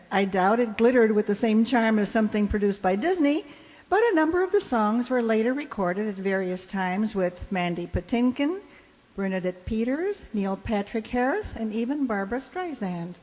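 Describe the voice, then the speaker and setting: A woman with a fairly high voice introduces a show from the stage. She is chatty and wry, reading prepared remarks with a playful touch in a reverberant hall. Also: a little mellow. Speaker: host introducing a radio players' show. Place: indoor hall in front of an audience. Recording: echoey hall, live stage.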